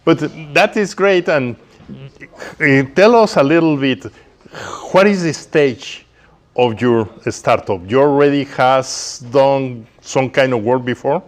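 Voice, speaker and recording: A man's voice: mid-range voice, takes it slowly. A man who speaks English as a second language hosts a casual live interview in an encouraging way. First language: not English. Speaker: host, interviewer. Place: community tech workspace, live event.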